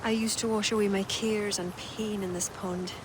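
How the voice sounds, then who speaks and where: An actress playing an earnest former druid noblewoman who speaks in a soft, confiding, measured way. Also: medium pitch, steady tone, natural voice. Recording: game cutscene with clear background noise.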